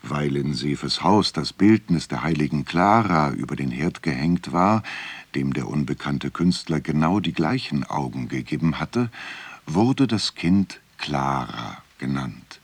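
A grave storyteller recites an old legend in a radio play. The voice is extremely deep and husky, and the pace is slow and solemn. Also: male voice, animated, no room sound, exaggerated voice. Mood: solemn, unhurried. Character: grave male teller of an old saint's legend.

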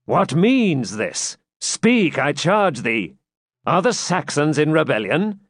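A man's voice: medium pitch, sing-song, unhurried, dry recording, studio quality. A man voicing a vain, imperious medieval prince with pompous archaic speech in an audiobook story. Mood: alarmed, demanding.

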